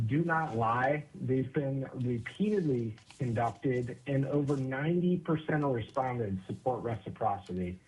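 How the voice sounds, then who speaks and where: A man giving measured, persuasive formal testimony to a committee. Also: mid-range voice, a little mellow, slightly rough, low-quality recording. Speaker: invited testifier.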